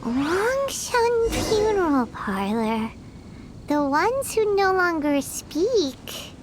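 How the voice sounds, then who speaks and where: A woman voicing a tiny, excitable female fairy companion in a video game, squeaky and expressive. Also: extremely slow, slight background noise, full character voice. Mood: reactive. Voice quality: squeaky, expressive, easily startled.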